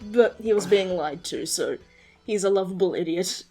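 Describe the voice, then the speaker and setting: A woman's voice: on the low side, normal speed, dark tone. A woman chatting casually and enthusiastically, swearing freely as she hosts a quiz and explains game lore. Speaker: host quizzing a partner on game characters. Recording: background music under the voice.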